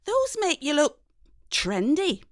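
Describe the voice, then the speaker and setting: A woman's voice: sits high, huge pitch swings, slow pace, no echo, studio quality, voice pushed up, exaggerated voice. A woman voicing a stroppy, defiant, sarcastic young daughter in an audio short story.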